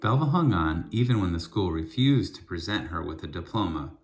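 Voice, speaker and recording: A man reading a children's book aloud in an expressive storytelling style, with deliberate, emphatic phrasing. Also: low-pitched, soft background music.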